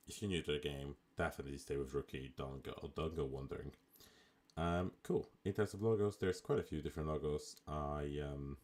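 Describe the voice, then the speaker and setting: A man with a raspy voice, chatting casually as a live streamer while he plays a management game. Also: extremely low pitch. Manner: relaxed, chatty, with fillers. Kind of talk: casual live gameplay commentary.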